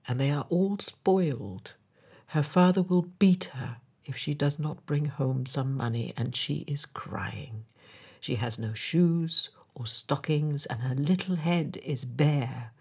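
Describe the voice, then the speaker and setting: Woman as a low, solemn, sorrowful prince statue. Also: darkish tone, slightly rough, full character voice. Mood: compassionate. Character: gentle sorrowful prince statue, compassionate and quietly commanding. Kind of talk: storybook character voice.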